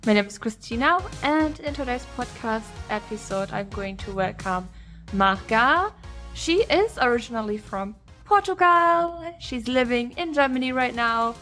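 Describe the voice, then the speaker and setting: A woman hosting a podcast, giving the introduction and asking long, carefully framed questions in a friendly, clear way. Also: on the high side, lively intonation, a little slow, a bit bright, soft background music. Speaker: podcast host.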